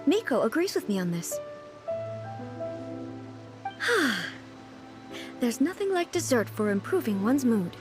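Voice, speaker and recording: A regal shogun from a video game with a fairly high, very expressive voice. She is composed, formal and measured, gently reassuring and curious. Music plays clearly behind her. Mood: calm, musing. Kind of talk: scripted video game voice acting.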